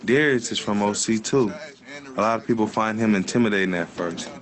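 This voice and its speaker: A man who founded a small business tells its story in documentary voice-over, plain and steady. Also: fairly low pitch, a little fast. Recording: background noise.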